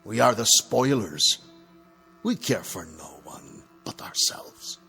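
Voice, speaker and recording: An actor playing a proud, cynical old deposed dictator in a theatrical television drama, with a husky, gravelly voice. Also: male voice, lowish voice, sing-song, pushed and bright, soft background music, heavily acted. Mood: mocking, bitter. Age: older. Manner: haughty, deliberate, sardonic.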